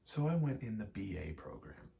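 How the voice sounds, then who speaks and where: A man's voice: on the low side, sing-song, normal speed, creaky, mellow, muffled recording, slight background noise. A young American man in his twenties rambles in a casual, candid ASMR chat, swearing now and then. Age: 20s. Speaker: ASMR rambler and aspiring actor.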